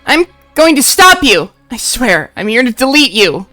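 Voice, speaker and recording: A woman voicing a timid young woman who apologizes quietly and breaks into defiant shouts. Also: on the high side, big pitch swings, normal speed, faint music behind.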